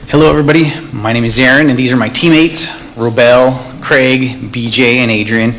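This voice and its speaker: A man from an outreach nonprofit earnestly introduces his teammates to the room. His voice sits at a middle pitch, with faint noise in the background. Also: fairly even intonation, a little fast, fairly bright tone, poor audio. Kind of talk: introducing a team at a workshop.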